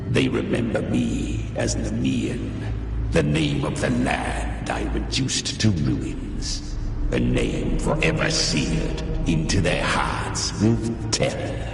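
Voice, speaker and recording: Video game beast: bottomless pitch, swelling dramatic range, proud, bloodthirsty, menacing, music underneath. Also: male voice, big pitch swings, gravelly, exaggerated voice. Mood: menacing pride. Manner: menacing, grandiose, drawn-out delivery. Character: monstrous ancient lion-like beast, proud, vengeful, bloodthirsty destroyer. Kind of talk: theatrical video game monster acting. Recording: cavernous reverb, distant, music behind.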